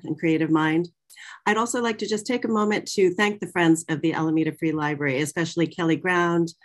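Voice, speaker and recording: A middle-aged woman with a fairly low voice hosts an interview over a video call. She moves between prepared introduction and chatty questions, with an animated delivery. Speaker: moderator. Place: small office.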